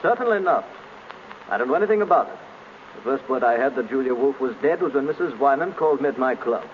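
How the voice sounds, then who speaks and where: Guy acting indignant stuffy gentleman, old radio, echoey and hissy. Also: medium pitch, lively intonation, fast, lightly acted. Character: stiff, indignant gentleman clubman. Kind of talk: 1930s radio drama acting. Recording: distant, reverberant, dull, noisy old radio.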